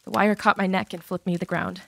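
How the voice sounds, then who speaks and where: A woman's voice: medium pitch, level tone, fast, creaky, dry recording. Woman acting as a wounded, confessional teenage girl. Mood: pained recounting. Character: abused teenage girl confessing her painful past. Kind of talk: dramatized audiobook acting.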